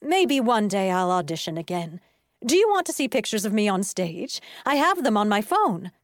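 A woman's voice: mid-range voice, big pitch swings, normal speed, dry recording, clean studio sound, natural voice. Woman doing a wistful, theatrical schoolteacher. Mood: wistful, hopeful. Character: wistful, oversharing woman schoolteacher with stage dreams.

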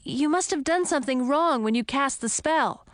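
A woman's voice: normal speed, no room sound, studio quality, natural voice. A woman voicing an impulsive young princess turned frog in a storybook, blunt, eager and insistent. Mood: indignant. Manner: exclaiming, insistent, high-strung.

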